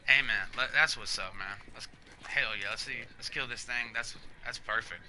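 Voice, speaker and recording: A man's voice: mid-range voice, normal speed, sharp tone, slight background noise. A man in his thirties casually explaining a game while playing live, loose and chatty, swearing now and then. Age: 30s. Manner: loose, chatty, swears freely and laughs at himself. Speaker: game streamer. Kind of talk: casual live gameplay commentary.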